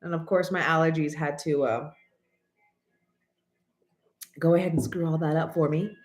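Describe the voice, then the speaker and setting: A woman in her late thirties talks to her viewers in a loose, rambling vlog chat, her voice stuffy and nasal from congestion. She clears her throat often, and her voice sits a little low for a woman. The sound has an echoey, roomy ring. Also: fairly even intonation, moderate pace. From American. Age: 30s. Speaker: channel host.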